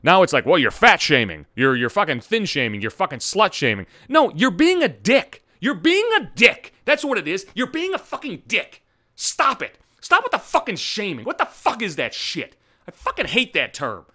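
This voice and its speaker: A man hosting his own podcast, ranting loudly with heavy swearing after just getting over a cold. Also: high-pitched, huge pitch swings, moderate pace, no echo, clean studio sound. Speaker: podcast host. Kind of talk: profane comedic rant.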